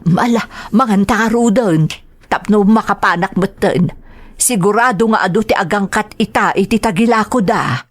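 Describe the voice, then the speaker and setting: A woman acting as a hardworking, loving mother in an Ilocano radio drama, gentle and maternal, with an Ilocano accent. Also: mid-range voice, moderate pace, close to an everyday voice. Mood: gentle, busy.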